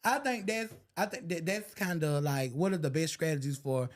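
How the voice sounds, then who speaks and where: A man in his late twenties hosting a casual interview, playful and teasing, quick to laugh. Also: a little high. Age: 20s. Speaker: podcast host asking questions.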